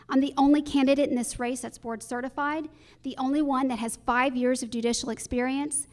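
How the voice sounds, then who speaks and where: Woman, high voice, judicial candidate, forum speech, emphatic, repeats key phrases. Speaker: judge and election candidate.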